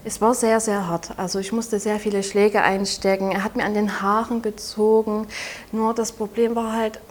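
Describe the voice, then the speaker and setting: A woman in her twenties from Saxony with a Saxon German accent, speaking haltingly and softly as an interview guest, often restarting her sentences. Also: mid-range voice, little pitch movement, slight background noise. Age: 20s. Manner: halting, soft-spoken, with frequent restarts. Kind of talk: personal testimony in an interview.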